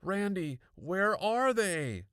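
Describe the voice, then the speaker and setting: A man voicing a frightened, insistent young girl in a children's horror audiobook, shrill, panicky and breathless. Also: sing-song, slow pace, a bit bright, smooth, dry recording, clean studio sound, full character voice. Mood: frantic.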